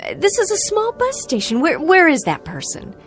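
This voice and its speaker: A woman's voice: very high-pitched, wildly swooping pitch, moderate pace, fairly bright tone, soft background music, full character voice. An actress playing an anxious, polite woman traveler in an audio drama, hesitant and stammering. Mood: agitated.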